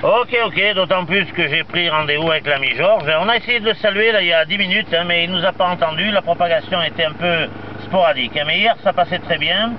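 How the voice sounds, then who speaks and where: A muffled, noisy two-way radio signal carries a man with a distinctly high voice. He chats casually as a radio hobbyist, talkative and dropping operator jargon as he goes. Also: fairly quick, few pauses. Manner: chatty, peppers talk with radio jargon. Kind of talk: casual radio contact chat.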